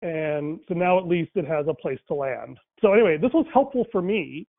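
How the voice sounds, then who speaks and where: A man's voice: a little high, lively intonation, moderate pace, gravelly. A man whose first language is Tamil talks casually about faith and language, thinking aloud with many fillers and restarts. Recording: tinny phone-like line, dry.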